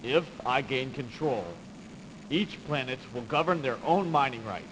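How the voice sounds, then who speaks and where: A man's voice: medium pitch, expressive, a little slow, natural voice. A man playing a disgruntled, idealistic young nobleman in a video game, speaking theatrically with haughty indignation. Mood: wary, resolved. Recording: noisy, reverberant early CD game audio.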